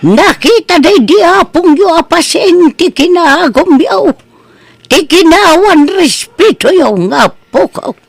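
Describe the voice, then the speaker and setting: A stern, wronged old woman in an Ilocano radio drama. Her high voice creaks and swoops as she slowly delivers emphatic reproaches in an Ilocano accent, with music underneath. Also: lively intonation, dry recording, heavily acted. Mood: hurt, resolute. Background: background music.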